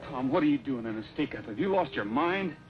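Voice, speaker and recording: An actor playing a calm, steady cowboy hero in an old-time western drama. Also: male voice, mid-range voice, fast talker, natural voice. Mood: stern. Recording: dull vintage soundtrack with music behind.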